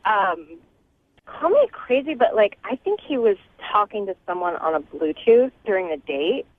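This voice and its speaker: A woman's voice: medium pitch, sing-song, normal speed, no room sound. A woman calling a radio show by phone, chatting casually and a bit hesitantly over a thin line. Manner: hesitant, conversational. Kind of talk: casual chat. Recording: tinny narrow phone line.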